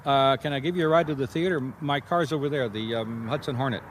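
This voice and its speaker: An actor playing a wry, easygoing private detective with an American accent in a radio mystery, conversational and probing. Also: male voice, medium pitch, fast talker, background noise, close to an everyday voice. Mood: wry, persistent. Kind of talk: radio mystery drama with first-person narration.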